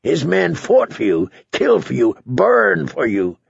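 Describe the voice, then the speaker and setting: A blunt, earnest knight of humble birth, played by a male narrator speaks in a fantasy audiobook. His voice is husky and creaky, his words slow and emphatic. The sound is dry. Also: a little high, wildly swooping pitch, dry recording, muffled recording, full character voice. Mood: impassioned pleading. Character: blunt, earnest lowborn knight pleading boldly.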